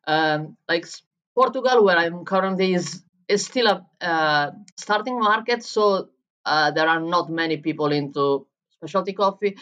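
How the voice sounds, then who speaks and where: A woman from Italy with an Italian accent, answering interview questions as a barista and coffee coach, often pausing and restarting as she looks for words. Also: lowish voice, fairly bright tone. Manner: searching for words in English, frequent restarts.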